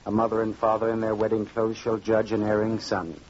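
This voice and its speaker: An actor playing a grave, careworn middle-aged fisherman father in old-time radio drama style, halting and earnest. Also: lowish voice, completely flat delivery, a little mellow, close to an everyday voice. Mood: grave, resolved. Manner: halting, earnest, repeating words. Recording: dull, noisy vintage radio recording.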